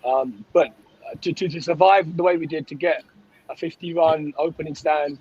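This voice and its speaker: A man with a slightly hoarse voice chatting casually as a sports fan guest on a call, often checking in with "right?". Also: on the high side, steady tone, moderate pace, a little mellow. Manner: conversational, often tags phrases with "right?". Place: on a coach, remote call. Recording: dull lo-fi call audio.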